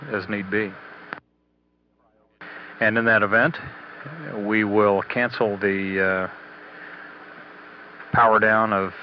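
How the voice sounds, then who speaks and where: A man giving a halting, matter-of-fact technical status briefing as a spaceflight mission commentator, on old radio audio. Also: on the low side. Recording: dull, noisy 1980s mission radio audio.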